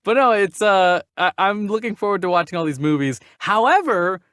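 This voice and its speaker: Male podcast host, somewhat high voice with very lively intonation, informal and joking, conversational film talk. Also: normal speed, smooth, dry recording, clean studio sound. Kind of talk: casual film podcast chat.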